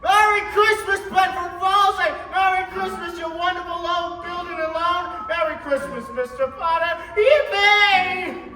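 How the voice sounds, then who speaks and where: An overjoyed, excitable family man in a radio play, acted by a young man. The voice is high and bright, exclamatory and stammering with relief. It carries from a distant microphone on an echoey stage. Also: a little slow, soft background music, a faint crowd, heavily acted. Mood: jubilant, shouting. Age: young adult. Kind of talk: radio play acting in a high school production. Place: school theatre stage.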